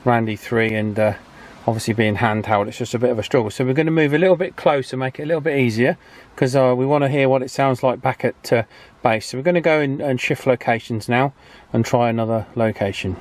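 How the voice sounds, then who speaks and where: A man's voice: mid-range voice, fast. A man presenting a casual radio hobby vlog in a chatty, understated, slightly hesitant way. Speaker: host of a radio repair and testing channel.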